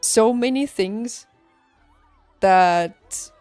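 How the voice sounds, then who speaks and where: A young adult woman chatting casually on a livestream, telling personal stories in an emphatic, animated and informal way. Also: on the high side, extremely slow, no echo. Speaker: livestreamer, former nurse. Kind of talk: casual stream chat, personal storytelling. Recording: background music behind close dry voice. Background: music.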